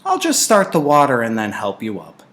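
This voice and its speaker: A man's voice: mid-range voice, wildly swooping pitch, fairly quick, fairly bright tone. A man voicing a polite, attentive young boy in a storybook, friendly and courteous. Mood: helpful.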